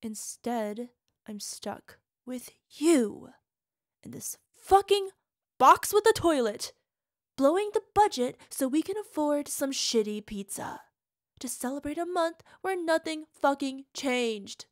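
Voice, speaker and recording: A volatile, bitter young girlfriend, as an actress plays her, with a high voice that has gravelly fry running through it. She speaks slowly, drops to hushed whispers and flares into swearing, and the sound is close and dry. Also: dry recording. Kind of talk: audio roleplay monologue.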